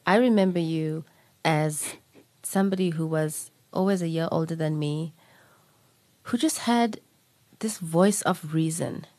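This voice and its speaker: A woman's voice: low-pitched, a little slow, long pauses, dry recording. A South African woman hosting an interview, asking probing questions in a conversational way. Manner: warm, probing questions, mixes in Xhosa phrases. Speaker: podcast host.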